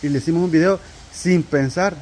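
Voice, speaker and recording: Male co-host, higher voice, slow suspense-building storyteller, outdoor recording with light noise. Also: darkish tone. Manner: storytelling, drawn out with suspense and restarts. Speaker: vlog co-host. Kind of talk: casual chat. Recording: outdoor audio with faint background noise.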